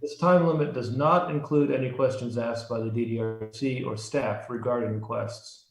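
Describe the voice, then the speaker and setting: A man with an American accent and a medium-pitched voice chairs a public meeting. He reads the rules in a formal, scripted way. The sound is noticeably roomy and echoing, as on an online meeting. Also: fairly even intonation, moderate pace. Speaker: chair of a city review commission.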